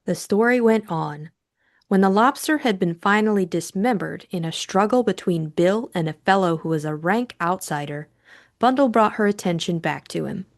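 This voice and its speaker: Female narrator, audiobook storytelling, scripted delivery, average pitch. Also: expressive, normal speed.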